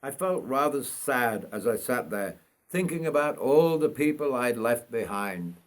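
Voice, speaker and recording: An older man from North Yorkshire narrates his autobiography in a mid-pitched voice. He reads at a slow, measured pace, reflecting as he tells the story. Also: expressive. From North Yorkshire, England. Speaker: writer reading own memoir.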